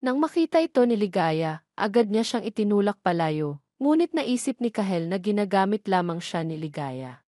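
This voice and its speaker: A Filipino woman narrates a scripted romance drama in a mid-pitched voice. She works as a storyteller, recorded close and very dry, with no room sound around her. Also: sing-song, normal speed, dark tone, no echo.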